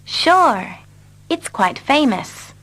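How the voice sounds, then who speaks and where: A woman repeating short English dialogue lines slowly and carefully for learners. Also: high-pitched, sing-song, fairly bright tone, slight background noise. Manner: carefully repeats each line. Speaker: English teacher. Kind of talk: slow scripted reading for learners.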